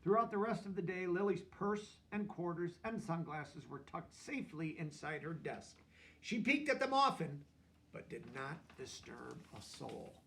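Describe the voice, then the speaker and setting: Creaky-voiced man reading a children's story. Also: a little high, measured pace. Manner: expressive, simple explanations for young children. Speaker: storybook reader. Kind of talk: children's read-aloud with explanatory asides.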